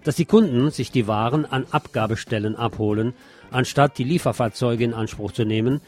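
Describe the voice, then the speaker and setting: A man's voice: mid-range voice, brisk, a little mellow. A man presenting the news, reading scripted reports. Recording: music under the voice.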